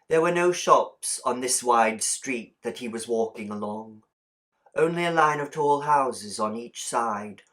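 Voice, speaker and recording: Guy reading an audiobook story, medium pitched voice, expressive and deliberate storyteller delivery. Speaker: audiobook reader. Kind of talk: scripted storybook narration.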